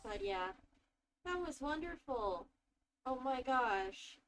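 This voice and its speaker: A woman's voice: high voice, animated, takes it slowly, a little hiss or hum. A woman streamer giving casual, gushing, reactive commentary on a game playthrough.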